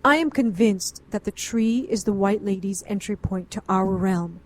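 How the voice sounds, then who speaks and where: A woman's voice: medium pitch, normal speed, close to an everyday voice. Desperate, defiant woman occultist, played by an actress. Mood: defensive, anguished. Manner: earnest, indignant, intense. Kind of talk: audio drama acting.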